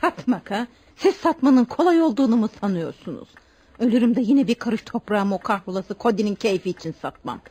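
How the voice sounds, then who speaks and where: An actress playing a bitter, scornful middle-aged mother in a radio play. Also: sits high. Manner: scornful, venomous. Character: bitter, spiteful, witch-like mother of grown son. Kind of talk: Turkish radio theatre acting.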